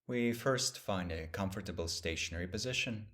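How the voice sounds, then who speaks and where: A man teaching a guided meditation, speaking gently and in measured phrases with long pauses. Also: sits low.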